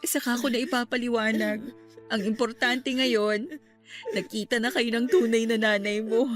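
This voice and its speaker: A woman playing a gentle, reassuring young woman in a Filipino radio drama, with a Filipino accent. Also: on the high side, moderate pace, lightly acted. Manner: calming, considerate. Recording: music under the voice.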